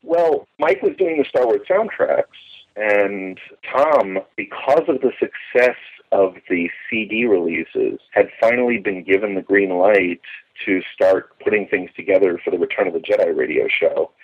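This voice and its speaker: Muffled, husky low-voiced man reminiscing. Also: lively intonation, unhurried. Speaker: interview guest. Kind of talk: conversational reminiscing about radio drama. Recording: muffled, band-limited phone line.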